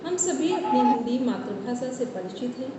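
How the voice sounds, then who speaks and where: A woman's voice: fairly high pitch, animated, moderate pace. A woman, a college teacher invited as guest speaker, giving a formal, flowing speech at an online event. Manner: formal, flowing, lists many words in a row. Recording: echoey room from a distance, noisy.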